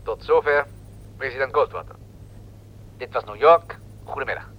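A man's voice: medium pitch, normal speed, close to an everyday voice. A man playing a solemn radio news correspondent who formally declaims political statements, in a dull radio recording. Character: solemn male New York radio correspondent quoting the president's rousing speech.

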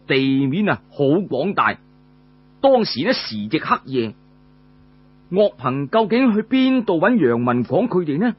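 A male storyteller with a Cantonese accent narrates in an old oral tradition. His voice is fairly high and husky, with very animated pitch swings. The recording is dull and lo-fi. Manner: colloquial, frequent drawn-out filler particles between phrases.

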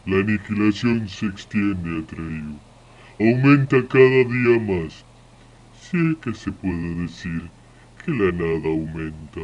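A man's voice: low-pitched, expressive, darkish tone. A man playing a bleak, resigned forest troll, speaking solemnly in a radio drama. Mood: ominous. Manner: slow, solemn. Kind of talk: Spanish-language radio drama acting. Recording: dull, muffled sound.

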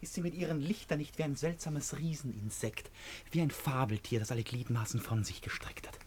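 An actor playing an eccentric, restless suitor in a 1950s German radio drama, impulsive and rhapsodic, moving between hushed confession and emphatic outburst. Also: male voice, mid-range voice, steady tone, fairly quick, slight background noise, lightly acted. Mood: rapturous.

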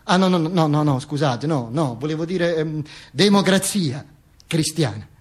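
Man acting high-voiced, pompous, stammering talking dictator's corpse. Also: lively intonation, unhurried, slightly rough. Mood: wry, halting. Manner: stammering, repetitive, self-correcting, mock-solemn. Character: Mussolini's talking corpse, wry, pompous, rambling storyteller. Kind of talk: theatrical monologue.

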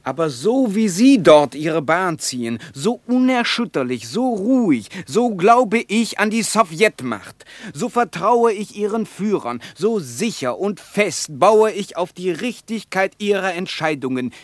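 An earnest young Soviet true believer in a radio drama. His voice sits fairly high and moves in lively swings, insistent and declamatory, in a dry studio sound. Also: measured pace, dry recording. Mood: solemn, fervent. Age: young adult. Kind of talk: German radio play acting.

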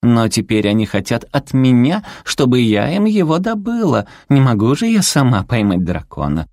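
A man's voice: medium pitch, huge pitch swings, normal speed, darkish tone, no echo, studio quality, pitched above the performer's own voice. A man voicing a cute, small, furry female villain in an audiobook, sweetly polite and pouty with gushing manners. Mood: chirpy, polite.

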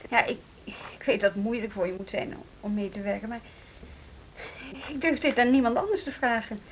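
Actress as a hesitant, high-voiced persuader. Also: female voice, normal speed, a little hiss or hum, close to an everyday voice. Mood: hesitant, coaxing. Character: hesitant but persuasive female plotter seeking an ally. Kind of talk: Dutch radio play acting.

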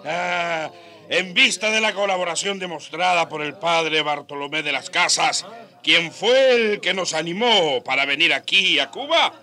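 An actor playing a boisterous, domineering Spanish colonial captain in a radio drama, with a hearty, chuckling, teasing delivery. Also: male voice, on the high side, a little slow, pushed and bright. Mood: jovial, commanding. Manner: bossy, swaggering, teasing.

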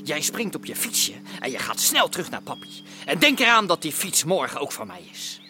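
An actor in an audio drama playing a greedy, vengeful crime boss who threatens, sneers and demands. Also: male voice, on the high side, big pitch swings, moderate pace, over music. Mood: furious, demanding.

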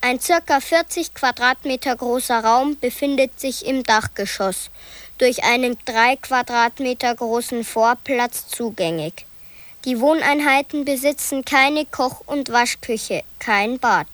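A woman reading documents and street names aloud in a measured, deliberate way. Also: fairly high pitch, fairly bright tone, no echo. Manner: slow, deliberate listing. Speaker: narrator.

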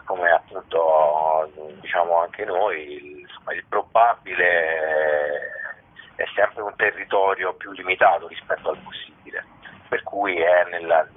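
A man answering interview questions as a documentary director, explaining in a halting way, searching for words. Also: low-pitched, breathy edge. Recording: thin, telephone-like radio line.

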